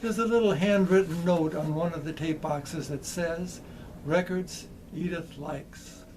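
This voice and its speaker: Older man, mellow and measured. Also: a little high, a little hiss or hum, poor audio. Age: older, around 70s. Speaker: presenter of vintage electronics. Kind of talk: scripted product demonstration narration. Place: small indoor electronics workshop.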